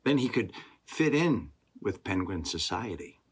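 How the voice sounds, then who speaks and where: A man reading a rhyming children's story aloud in a deliberate, expressive way, with pauses. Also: fairly low pitch, husky. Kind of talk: rhyming scripted story narration.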